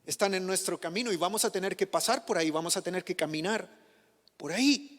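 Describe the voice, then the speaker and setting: A man from Chile, a pastor, preaching a sermon, pushing his voice up on questions and sinking it low to drive points home. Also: fast, a bit bright, in a large hall. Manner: passionate preaching, rising on questions and dropping low for emphasis. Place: church.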